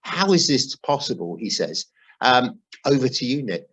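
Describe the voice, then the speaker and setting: A man's voice: mid-range voice, big pitch swings, normal speed, a bit bright, slightly rough. A man hosting a football podcast in a chatty way with wry asides, reading out notes and emails. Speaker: podcast host.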